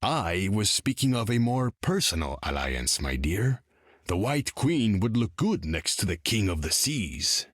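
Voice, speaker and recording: Amorous game sea monarch, regal, husky, expressive intonation, courtly formality. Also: male voice, lowish voice, a little slow, no echo, clean studio sound. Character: proud, courting undersea king, regal and amorous. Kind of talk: video game voice acting.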